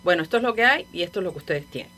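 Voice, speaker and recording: A woman with a Cuban Spanish accent speaks as a guest on a radio talk show. Her voice is on the low side, and she thinks aloud in long, wandering sentences with small restarts and soft laughs. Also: expressive, very fast. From Cuba. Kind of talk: casual radio conversation.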